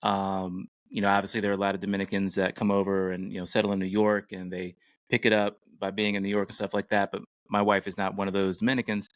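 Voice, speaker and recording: American male podcast host, middle-aged, lower-pitched, casual conversational delivery, dry recording. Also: completely flat delivery, fast talker, no room sound. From United States.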